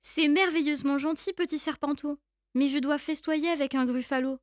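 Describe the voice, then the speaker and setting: A woman's voice: high-pitched, steady tone, normal speed, dry recording, clean studio sound, close to an everyday voice. A woman playing a small, clever female mouse in a children's story, cheeky and singsong. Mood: polite, bluffing.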